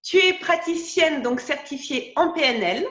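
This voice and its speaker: High-voiced woman hosting a chatty interview. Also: expressive, measured pace, echoey room. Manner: warm, chatty, many hesitant fillers and restarts. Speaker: podcast host interviewer. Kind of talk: video interview.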